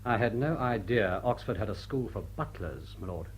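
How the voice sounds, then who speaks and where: An actor playing a suave, dry-witted butler in period drama, formal, measured and deadpan. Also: male voice, fairly low pitch, a little hiss or hum, close to an everyday voice. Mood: deferential, guarded. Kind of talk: period stage comedy radio drama.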